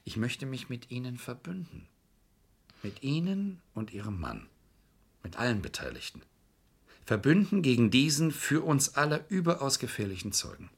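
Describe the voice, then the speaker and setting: An actor playing an insistent, calculating lawyer in a vintage crime radio play, speaking formally and pressing his points in a measured way. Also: mid-range voice, very animated, slightly rough. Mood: grave, persuasive.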